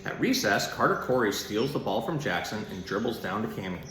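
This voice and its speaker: A man's voice: lowish voice, big pitch swings, brisk, a bit bright. A man giving simple, child-friendly explanations and storybook reading in the informal style of an animal educator. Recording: roomy echo, some background noise.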